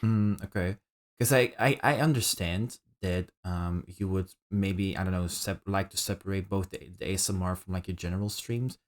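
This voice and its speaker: A man with a low voice hosts a relaxed podcast conversation. He is polite and hesitant, feeling his way through each sentence in stops and starts. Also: moderate pace. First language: Dutch. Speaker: podcast host. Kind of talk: casual podcast interview chat.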